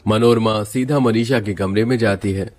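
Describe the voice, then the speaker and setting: A man's voice: fairly low pitch, fairly even intonation, moderate pace, darkish tone. A man narrating a scripted family moral story.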